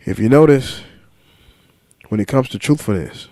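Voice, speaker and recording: A man talking freely to his viewers in a reflective monologue, slow and deliberate, listing ideas one word at a time with some fillers and repeats. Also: medium pitch, mellow, no echo, clean studio sound. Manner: lists ideas in measured single words. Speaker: vlogger.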